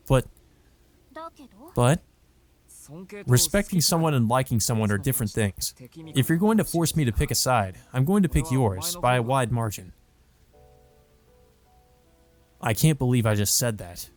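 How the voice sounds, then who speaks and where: A man's voice: mid-range voice, lightly acted. A man voicing an earnest young male student who narrates his thoughts in a visual novel. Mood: sincere. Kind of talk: visual novel monologue read aloud.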